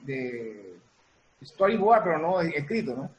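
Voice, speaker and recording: A man's voice: fairly high pitch, very animated, a little hiss or hum, poor audio. A man explaining his work casually as an interview guest, repeating and rephrasing as he goes. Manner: halting, repeats and reformulates. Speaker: guest, music producer and sound designer.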